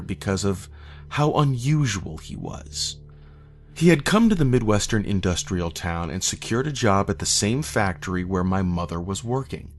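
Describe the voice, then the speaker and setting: Male horror narrator, lowish register, measured and solemn delivery, faint eerie music bed underneath. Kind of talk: scripted first-person horror narration. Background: eerie background music.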